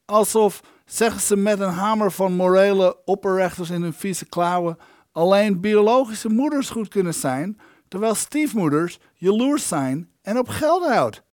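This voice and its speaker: An actor playing the indignant ghost of an elderly film maker in an audio drama, wordy, aggrieved and theatrical. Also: male voice, high-pitched, expressive, unhurried, no room sound, studio quality.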